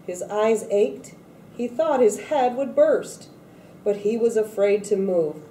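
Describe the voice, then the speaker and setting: A woman reading a children's picture book aloud in a deliberate way, acting out the quoted speech a little. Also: medium pitch, lively intonation, dark tone, far from the mic. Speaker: storybook reader at a read-aloud event. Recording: echoey room, off-mic, faint noise.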